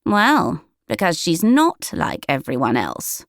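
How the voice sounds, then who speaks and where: A woman's voice: on the low side, huge pitch swings, takes it slowly, no room sound, heavily acted. Here is a pinched, pious village woman from a storybook, voiced by a woman. She whines in a sanctimonious, small-minded way. The recording is dry. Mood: cowed. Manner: whining, sanctimonious.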